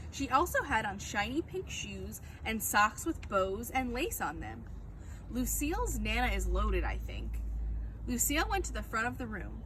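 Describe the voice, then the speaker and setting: A woman reading a children's book aloud as a storyteller, with expressive, dramatic narration. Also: fairly high pitch, measured pace, a little hiss or hum, rough recording.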